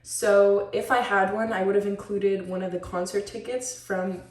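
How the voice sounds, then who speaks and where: A woman's voice: medium pitch, level tone, moderate pace, darkish tone. Talkative teen girl showing off her identity box, bubbly and chatty, sounds a bit far from the mic in an echoey room. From Switzerland. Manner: chatty and upbeat, talks a lot. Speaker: student presenting a school project. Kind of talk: show-and-tell presentation. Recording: roomy echo, mic at a distance.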